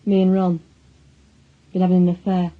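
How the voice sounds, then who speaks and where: An actress playing a guilty, regretful, subdued woman with a husky voice in a radio drama. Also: on the low side, monotone, normal speed, narrow, tinny audio, close to an everyday voice. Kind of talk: naturalistic British radio drama acting.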